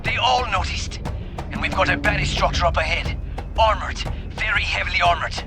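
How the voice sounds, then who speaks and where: An actor playing an anxious, sarcastic military pilot in a video game, agitated and easily flustered. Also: male voice, high-pitched, brisk, creaky, over music. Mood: panicked, exasperated.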